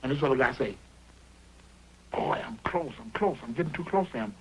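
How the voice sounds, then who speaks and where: An excitable working man in an animated short, with a high voice that swings up and down. He frets and argues in rambling, insistent bursts, stammering and doubling back on his words. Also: a little fast, low-quality recording. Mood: agitated. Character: excitable, worrying male construction worker arguing with workmate. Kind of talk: improvised conversational dialogue in an animated short.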